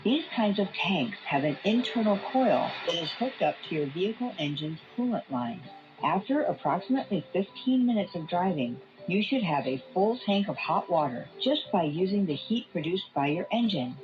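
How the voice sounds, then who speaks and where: A woman playing a gentle, benevolent blue tang fish plumber, earnestly presenting scripted helpful tips over a lo-fi shortwave broadcast. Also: lowish voice, big pitch swings, moderate pace. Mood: helpful, explanatory. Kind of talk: scripted TV tip narration. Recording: dull lo-fi shortwave radio broadcast. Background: music.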